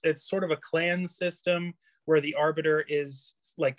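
Bright, dry-sounding man explaining carefully. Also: fairly high pitch, dry recording. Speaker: author being interviewed. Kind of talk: explanatory interview talk.

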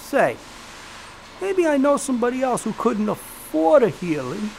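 High-voiced, animated gangster boss. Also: male voice, measured pace, gravelly, a little hiss or hum, heavily acted, poor audio. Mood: sly, amused. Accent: American. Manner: streetwise slang, sly insinuation, theatrical. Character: gleeful sweet-toothed gangster crime boss, sly and menacing. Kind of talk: period gangster audio drama acting.

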